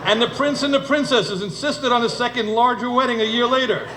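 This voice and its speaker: A man's voice: very high voice, a little fast. A middle-aged man giving a humorous wedding speech in a storytelling way, with dry asides, as father of the bride. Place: indoor wedding reception venue. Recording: echoey room, noisy event ambience.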